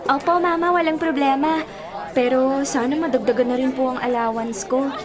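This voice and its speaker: An actress plays a high-voiced Filipino teenage girl in a radio drama. She is polite yet cheeky and sure of herself, with crowd chatter clearly heard behind her. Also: fairly even intonation, normal speed, lightly acted. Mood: confident, playful. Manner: polite but cheeky, confident. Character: ambitious, cheeky teenage daughter fresh from high school.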